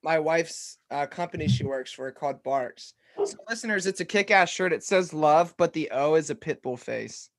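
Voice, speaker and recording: A man's voice: fairly high pitch, expressive, normal speed. A man co-hosting a podcast, upbeat and joking, swearing casually as he introduces the guest. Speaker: podcast co-host. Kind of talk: casual chat.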